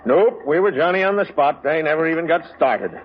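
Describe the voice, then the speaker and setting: A man's voice: on the high side, lively intonation, brisk, close to an everyday voice. Guy doing blustery american cop inspector, old radio detective show, slangy n sarcastic, voice jumps around, muffled noisy recording. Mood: sour, sarcastic. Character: blustering, sarcastic, easily exasperated male police inspector.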